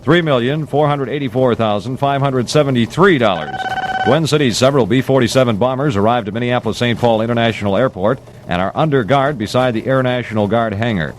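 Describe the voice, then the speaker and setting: A man's voice: mid-range voice, brisk, slight background noise. An American man reading a 1960s radio news bulletin with formal, punchy headline delivery, on an old AM aircheck recording.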